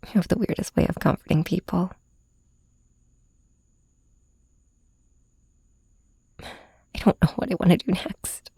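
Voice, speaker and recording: Casting: actress as weary, wounded heroine for ASMR audio drama; low, very husky female voice, halting delivery. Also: dry recording, heavily acted. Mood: dejected, weary. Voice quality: breathy, whispery. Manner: hesitant, halting, soft-spoken. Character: heartbroken, weary young woman hero confiding in her nemesis.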